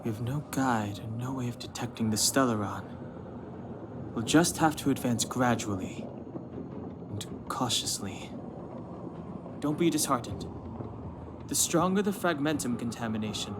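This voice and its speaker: A cautious, analytical young man from a video game, played by a male actor. He has a medium-pitched voice and explains things in a measured, formal manner. Ambient noise is clearly heard behind him. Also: natural voice. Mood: grave, measured. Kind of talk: English game voice acting, cutscene dialogue.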